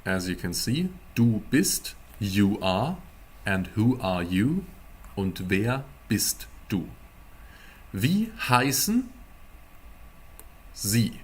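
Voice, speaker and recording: Male language tutor for live-streamed class; slightly low, rough-edged voice, casual and deliberate, spelling things out word by word. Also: very animated. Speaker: German teacher.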